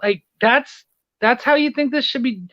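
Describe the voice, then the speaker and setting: Man, high voice, casual earnest guest, dull online call audio, dry sound. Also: moderate pace, dry recording. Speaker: guest, freelance comics editor. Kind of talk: casual chat.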